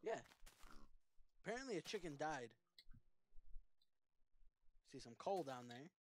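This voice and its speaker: A man's voice: on the high side, a little slow, slight background noise. A man chatting casually and jokingly while playing a co-op game. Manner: relaxed, joking. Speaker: co-op game player. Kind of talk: casual gameplay chat.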